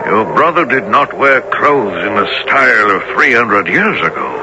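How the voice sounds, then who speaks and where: Animated, droll seafaring gentleman officer. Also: lowish voice, normal speed, highs cut off. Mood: knowing, hushed. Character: wry, good-humoured seafaring gentleman officer on expedition. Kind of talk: 1970s radio drama acting. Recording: noisy old radio broadcast recording.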